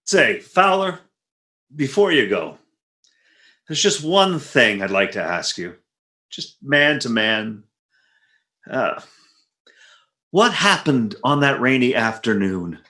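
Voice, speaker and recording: A man's voice: medium pitch, big pitch swings, takes it slowly, pauses often, sharp tone, full character voice. A man playing a jealous, dry, exasperated and boastful husband in a 1940s-style radio comedy, in an echoey room. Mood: jealous, indignant.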